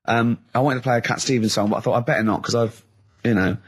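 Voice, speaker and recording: A man's voice: medium pitch, fairly even intonation, quick pace, husky. Chatty male radio co-host, rambling storyteller. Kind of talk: casual radio chat and anecdotes.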